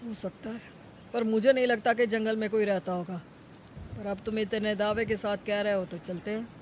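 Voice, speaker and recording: A woman's voice: mid-range voice, steady tone, normal speed, darkish tone, natural voice. Woman voicing a scheming, greedy cartoon village man, faint noise. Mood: greedy, urging. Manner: scheming, coaxing, wheedling. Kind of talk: Hindi moral-story cartoon acting.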